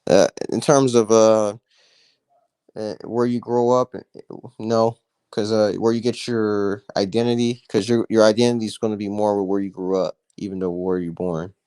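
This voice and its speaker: Lower-pitched man from Texas, halting boxing chat, dry close sound. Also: flat delivery, warm tone, clean tone, no room sound. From born in Nigeria, raised in Texas. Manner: hesitant, many fillers and restarts. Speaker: podcast co-host. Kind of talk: casual boxing talk.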